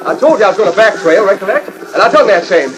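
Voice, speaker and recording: An actor playing an eager, folksy cowhand with an American Western accent in 1940s radio drama style. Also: male voice, high-pitched, expressive, quick pace, over music, rough recording. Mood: excited. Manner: folksy, repeats himself eagerly. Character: excitable, whooping young cowhand bringing good news. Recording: old 1940s radio transcription disc.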